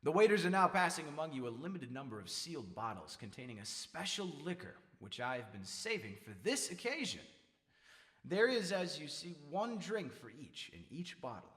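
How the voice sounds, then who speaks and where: A man's voice: on the high side, very animated, normal speed, fairly bright tone, slightly rough, exaggerated voice. A man playing a pompous, sneering, megalomaniacal dictator in old-time radio horror style. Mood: pompous, menacing. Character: megalomaniacal, murderous male dictator gloating madly.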